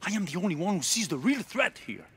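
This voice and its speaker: An actor playing a proud, driven middle-aged cult leader in a video game cutscene, intense and grandiose, moving between hushed and declamatory. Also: male voice, high voice, very animated, fast, slightly rough, exaggerated voice. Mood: impassioned.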